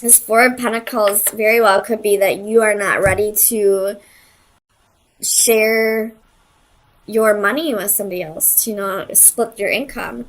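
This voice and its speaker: A woman's voice: mid-range voice, fairly even intonation, measured pace, poor audio. A woman giving a casual, conversational tarot reading, hesitant as she thinks aloud and searches for her words. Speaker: tarot reader.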